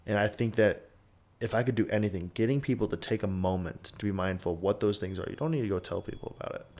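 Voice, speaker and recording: A man's voice: on the low side, fast, darkish tone. Casual, swearing man in his twenties. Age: 20s. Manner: rambling, frequent "like", swears freely. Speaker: podcast host. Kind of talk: candid casual podcast talk.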